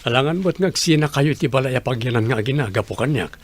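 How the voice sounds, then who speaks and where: A man's voice: medium pitch, expressive, fast, natural voice. A man playing a kind, obliging family friend with a friendly manner in an audio drama. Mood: friendly, offering.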